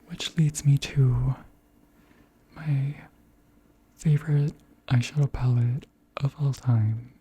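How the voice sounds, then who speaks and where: A beauty creator around thirty speaking softly in a whispery, breathy voice, gently chatting through products in an unhurried ASMR style. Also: medium pitch, fairly even intonation. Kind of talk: soft-spoken ASMR chat and product show-and-tell.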